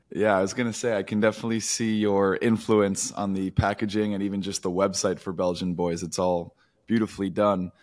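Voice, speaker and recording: A man's voice: on the low side, flat delivery, normal speed, darkish tone. A man co-hosting a podcast, speaking in a friendly, conversational way as he introduces and interviews. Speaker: podcast co-host.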